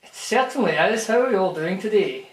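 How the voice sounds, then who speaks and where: A man puts on a deadpan caller claiming to be a famous fighter, speaking with a Scottish accent. His voice sits a little high and he keeps a straight, mock-serious tone through absurd boasts. The sound carries an echoey room. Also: fairly quick. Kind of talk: comic phone-in bit.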